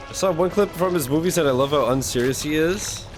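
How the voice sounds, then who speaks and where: A man's voice: fairly high pitch, a little fast. A man streaming his reactions to game cutscenes in casual, joking chat, swearing freely. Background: video game cutscene audio and music.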